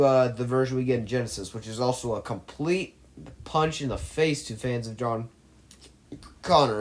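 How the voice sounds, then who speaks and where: A man in an opinionated movie commentary, arguing his case emphatically and rambling through restarts. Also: mid-range voice.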